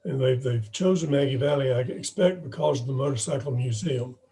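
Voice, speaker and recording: A hoarse man, speaking haltingly and often clearing his throat, gives an informal report as club president on a video call. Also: medium pitch, mellow. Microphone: video call microphone.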